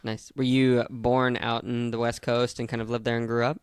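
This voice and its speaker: A young adult man hosting a podcast, relaxed and encouraging, filling in with short affirmations. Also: mid-range voice, moderate pace, smooth, no room sound, studio quality. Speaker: podcast host. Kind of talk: casual interview chat.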